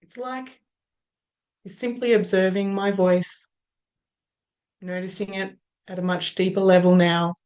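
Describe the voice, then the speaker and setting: Slow, soothing Australian woman hypnotist. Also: mid-range voice, fairly even intonation. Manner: slow, soothing, drawn-out phrases that trail off softly. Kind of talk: guided hypnosis induction.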